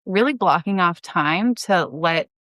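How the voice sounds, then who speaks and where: A woman's voice: lowish voice, lively intonation, unhurried, fairly bright tone, dry recording, studio quality. A woman in her thirties chatting as a guest in a casual interview, explaining things in a chatty way. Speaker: guest, audio drama creator.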